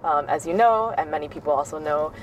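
A woman's voice: sits low, fast, far from the mic, slight background noise, low-quality recording. A young woman from Shanghai with an American accent, answering conversationally as an interview guest. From grew up in Shanghai, China. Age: young adult. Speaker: interview guest, corporate innovation manager.

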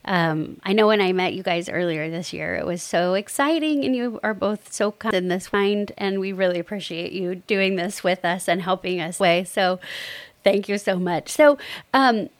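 A woman's voice: mid-range voice, normal speed, no room sound. A woman hosting a podcast, upbeat and enthusiastic as she introduces guests and asks questions. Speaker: podcast host.

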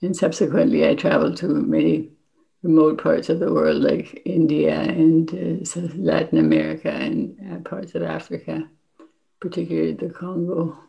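A woman's voice: sits low, level tone, moderate pace, vocal fry. An older woman speaking reflectively as a guest in an interview. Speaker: interview guest, memoir author and former NGO worker. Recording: dull, lo-fi recording.

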